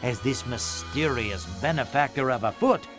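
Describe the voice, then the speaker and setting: A man's voice: mid-range voice, lively intonation, moderate pace, over music, lightly acted. A man playing a dramatic, mock-grave narrator of a cartoon adventure, reading scripted lines theatrically.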